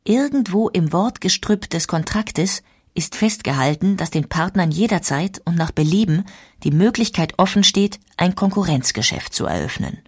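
Low-voiced female documentary narrator. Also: animated, normal speed, breathy edge, no echo, studio quality.

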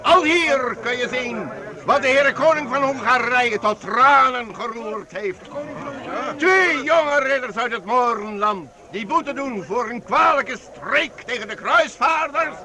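A man's voice: extremely high pitch, expressive, takes it slowly, full character voice. A man acting in a period radio drama as a ceremonious medieval fairground showman, proclaiming to townsfolk. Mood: proclaiming, grand. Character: medieval fairground crier announcing exotic fighters to townsfolk. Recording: busy outdoor crowd behind the voice.

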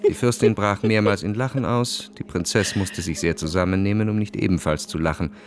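An actor playing a suave, cynical, bored young aristocrat in a radio drama, narrating and speaking with smooth, ironic detachment. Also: male voice, on the low side, a little fast, natural voice. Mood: wry, detached.

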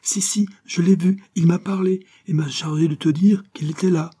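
A man voicing an excited, earnest little boy who talks in simple, insistent phrases in an audiobook story. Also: fairly high pitch, normal speed, slightly rough, pitched above the performer's own voice.

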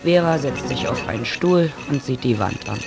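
An actor playing a detached storyteller, narrating bleak lives in short sentences in a radio drama. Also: male voice, medium pitch, expressive, normal speed, creaky. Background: music.

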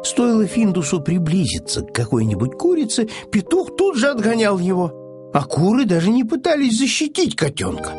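A man narrating a children's audiobook in an expressive, scripted storytelling style. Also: high voice, moderate pace, music in the background.

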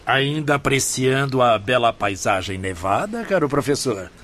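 A man's voice: medium pitch, expressive, moderate pace, a bit bright, close to an everyday voice. An actor playing a playful, polite male patient in a Brazilian Portuguese radio drama, with a lightly teasing, courteous manner.

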